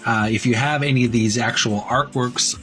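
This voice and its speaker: A man hosting a retro gaming video, talking casually in a halting, wordy way, rephrasing himself as he shows and reads printed material. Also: medium pitch, fairly even intonation, over music. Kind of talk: casual narrated show-and-tell, reading printed ad copy aloud.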